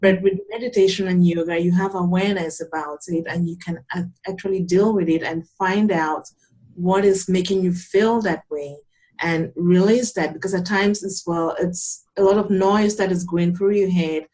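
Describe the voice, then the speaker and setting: A young adult woman from Cameroon, a yoga teacher calmly and earnestly explaining her practice in an interview. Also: fairly low pitch, moderate pace. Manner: calm, earnest, explanatory with frequent restarts. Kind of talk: interview answers, conversational.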